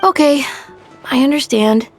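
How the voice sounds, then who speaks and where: A woman's voice: high voice, measured pace, faint music behind. A woman voicing a small, timid, polite child in a video game, speaking hesitantly. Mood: grateful, meek.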